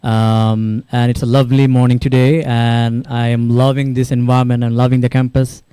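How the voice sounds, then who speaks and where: A man's voice: mid-range voice, flat delivery, moderate pace, mellow, clean tone, no room sound, clean studio sound. A young man gives an informal conference talk, searching for words with fillers and repeated words. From Bangladesh. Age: young adult. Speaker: panel speaker. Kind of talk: speech at a conference.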